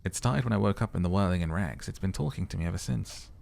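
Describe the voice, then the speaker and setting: Man playing a goofy, creaky-voiced troubled detective. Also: deep voice, quick pace, darkish tone. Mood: sheepish, earnest. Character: troubled, goofy male detective confiding about his necktie.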